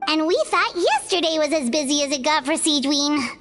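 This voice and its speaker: Squeaky, very high-pitched fairy sidekick. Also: female voice, normal speed, a bit bright, faint music behind. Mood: puzzled. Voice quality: squeaky, childlike. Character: small childlike female fairy companion, chirpy and excitable. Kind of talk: video game voice acting.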